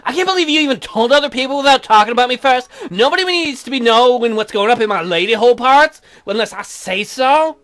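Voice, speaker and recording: A man's voice: very high-pitched, expressive, quick pace, hardly any pauses, a bit bright. A man voicing a bratty, indignant young pregnant wife in a video game, whiny and sassy.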